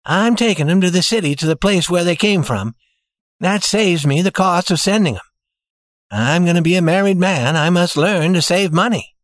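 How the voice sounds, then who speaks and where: A man's voice: high-pitched, moderate pace, no room sound, voice pushed up, lightly acted. A man voicing a composed young reformed safecracker in an audiobook story. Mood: easygoing. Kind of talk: audiobook character voice.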